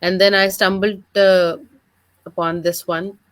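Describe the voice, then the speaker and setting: A woman's voice: mid-range voice, level tone, measured pace, a bit bright, no echo. A woman from Pakistan in her thirties, a guest on a video call interview, telling her story in a friendly, fluent way with many filler uhs. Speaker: guest, digital business mentor. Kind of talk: online interview, personal story.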